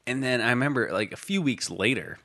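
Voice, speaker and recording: A man's voice: mid-range voice, expressive, fairly quick, sharp tone. A young man in casual chat, telling rambling stories with many restarts. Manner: rambling storyteller, frequent restarts and fillers.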